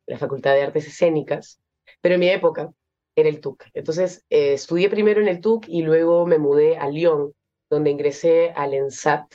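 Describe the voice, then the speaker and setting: A young Peruvian woman with a Peruvian Spanish accent, an actress being interviewed, speaking thoughtfully with many pauses and fillers. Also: deep voice, fairly even intonation, dark tone, dull audio. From Peru. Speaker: actress guest. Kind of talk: interview conversation.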